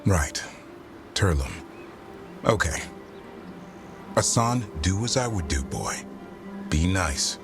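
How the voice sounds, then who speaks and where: An actor playing a gruff but soft-hearted hunter who cares for a griffin, terse and understated, in a video game. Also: male voice, deep voice, expressive, takes it slowly, pauses often, full character voice. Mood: quietly impressed. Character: gruff male warden hunter caring for a griffin, softening. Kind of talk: naturalistic video game acting. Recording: game music behind the voice.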